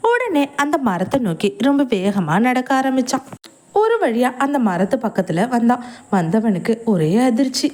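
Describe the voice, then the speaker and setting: A woman narrating a cartoon moral story in a clear, even storytelling manner. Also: a little high, normal speed.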